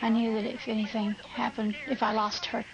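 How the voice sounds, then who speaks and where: A woman's voice: fairly high pitch, little pitch movement, a little fast, very noisy. An American woman recounting events in a documentary interview. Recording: dull audio under loud music.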